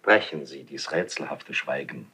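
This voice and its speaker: An actor playing an older, insinuating court priest in a classical German radio drama, speaking formally and solemnly with breathy, husky declamation. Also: male voice, a bass voice, moderate pace, bright, forceful tone, heavily acted. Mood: solemn, probing. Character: insinuating older court priest, confessor to the king, smooth and probing.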